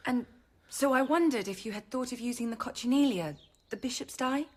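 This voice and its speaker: Breathy rebellious young novice, reverberant. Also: female voice, a little high, a little fast, a little mellow. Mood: feigned innocence. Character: rebellious, scheming sixteen-year-old novice girl. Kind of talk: radio drama acting and inner monologue.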